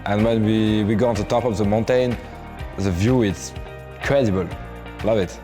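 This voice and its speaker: A man from France answers interview questions haltingly, pausing to search for words. His voice sits low. Music plays clearly behind him. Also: fairly even intonation. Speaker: trip participant.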